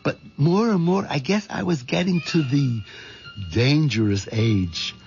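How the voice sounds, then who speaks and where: An actor playing a meek, hesitant, put-upon middle-aged husband in a 1970s radio drama. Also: male voice, fairly high pitch, measured pace, darkish tone, faint music behind. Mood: wistful, confiding. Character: meek, hen-pecked middle-aged husband, wistful dreamer. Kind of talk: 1970s radio drama acting with confiding narration. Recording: dull vintage radio recording.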